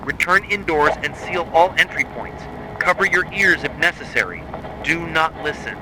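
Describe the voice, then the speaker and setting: Man, somewhat higher-pitched, grave, measured, official horror broadcast narration, noticeable background noise. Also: animated. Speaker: narrator of a mock emergency broadcast. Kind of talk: scripted horror narration as official emergency bulletin.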